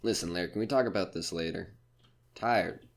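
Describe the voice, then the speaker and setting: A man's voice: sits low, fairly quick, vocal fry. A man playing a jaded, foul-mouthed nightclub comedian in an audio drama, brash, curt and grumbling. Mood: weary, polite.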